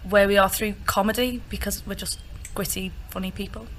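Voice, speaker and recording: A woman's voice: mid-range voice, brisk, slight background noise, poor audio. A woman from Liverpool with a Liverpool accent, a musical theatre actress chatting proudly and expressively. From Liverpool, England. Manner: proud, expressive, sings snippets.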